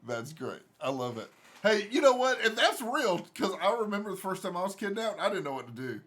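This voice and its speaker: A man's voice: high-pitched, sing-song, fairly quick, fairly bright tone. A man interviewing a guest in casual chat, giving short encouraging replies.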